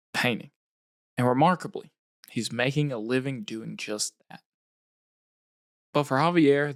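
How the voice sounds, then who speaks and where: A man hosting a podcast, reading scripted narration in a measured storytelling way. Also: mid-range voice, no echo, clean studio sound.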